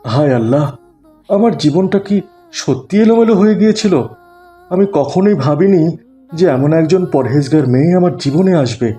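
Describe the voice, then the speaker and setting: A young cartoon husband and dutiful-at-heart son acted by a man. His voice is mellow and earnest with a plaintive edge, over faint background music. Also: a little high, animated, normal speed, lightly acted. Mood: remorseful, prayerful. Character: wayward young husband and son turned humble penitent. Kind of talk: cartoon dialogue.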